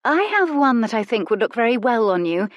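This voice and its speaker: A woman puts on a daring young lady of the Regency era, coaxing and coy, her voice lifting brightly as she persuades. The sound is close and dry. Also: fairly high pitch, sing-song, fairly quick, no room sound, dull audio, pitched above the performer's own voice.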